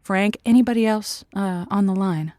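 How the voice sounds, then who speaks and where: A woman's voice: mid-range voice, normal speed, mellow, no echo, close to an everyday voice. A woman playing a thoughtful, sympathetic host of a conversational call-in advice show, with a hesitant, stammering delivery. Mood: amiable, thoughtful.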